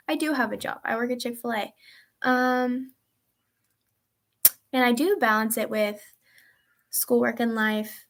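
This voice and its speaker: Teen girl rambling through a q&a vlog, kinda high voice, lots of likes and ums. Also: a bit bright. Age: teens. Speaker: vlogger answering viewer questions. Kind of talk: casual chat.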